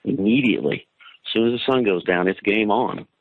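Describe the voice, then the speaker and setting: A man's voice: fairly low pitch, brisk. A man from Kansas, a guest on a show, talking in an eager, casual way and saying "man" a lot over a phone line. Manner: enthusiastic, says man a lot. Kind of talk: phone-in interview.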